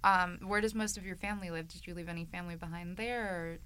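A woman interviewing someone, asking calm, curious follow-up questions. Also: on the low side, quick pace, clean tone.

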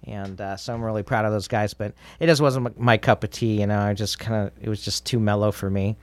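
A man with a fairly low voice talks in a relaxed, easygoing way, like a musician being interviewed. His speech is casual and unhurried in manner, captured close and dry. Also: no echo. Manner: mellow, laid-back. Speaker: interview guest, rock drummer.

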